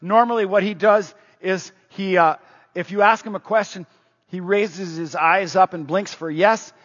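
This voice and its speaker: High-voiced husky preacher in a hall. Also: male voice, fairly even intonation, unhurried, fairly bright tone. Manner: conversational, asks the congregation questions. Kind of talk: sermon. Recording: dull, reverberant hall sound.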